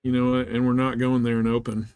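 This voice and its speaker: A man's voice: mid-range voice, monotone, fast talker, darkish tone, smooth. Casual man streaming a game. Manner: laid-back, informal, colloquial. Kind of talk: casual gameplay chat with stream viewers.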